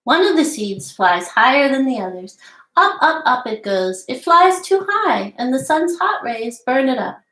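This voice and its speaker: A woman's voice: high-pitched, very animated, a little slow, a bit bright. A middle-aged woman hosting a children's storytime, talking casually and reading aloud in simple, explanatory phrases, recorded indoors at home on a computer mic. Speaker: storytime host. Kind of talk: casual show-and-tell and picture-book read-aloud for young children. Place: small home room with wainscot walls, indoors. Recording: echoey room, home webcam.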